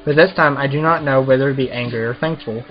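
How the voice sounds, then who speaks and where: A man's voice: medium pitch, fast talker, dull audio, soft background music. A man doing a casual let's play, reading visual novel text aloud and commenting as the host of a gaming video.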